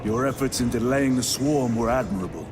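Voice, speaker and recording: An actor playing a stoic, seasoned space soldier in a video game, formal, terse and grave. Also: male voice, medium pitch, brisk, over music, natural voice. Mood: composed, formal. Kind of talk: dramatic video game acting.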